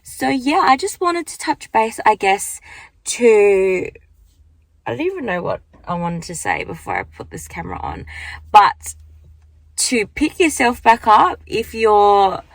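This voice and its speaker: Young woman, croaky morning voice, rambling casual vlog chat into a handheld phone, dry close sound. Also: on the high side, very animated, a little slow, no room sound. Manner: chatty, rambling, lots of fillers. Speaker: vlogger. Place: car at the beach, then walking outdoors.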